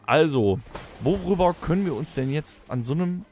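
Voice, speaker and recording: A middle-aged man from Germany hosts a casual podcast chat, rambling and poking fun at himself. His voice sits a touch high and swings widely in pitch. Soft music plays underneath. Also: lively intonation, moderate pace. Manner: rambling, self-mocking, lots of filler sounds. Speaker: podcast host. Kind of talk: casual chat in a staged holiday audio play.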